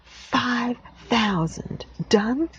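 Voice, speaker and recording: A woman's voice: a little high, drawn-out words, slightly rough, dull audio, voice pushed up, heavily acted. A woman voicing a pushy, sneering businessman making offers.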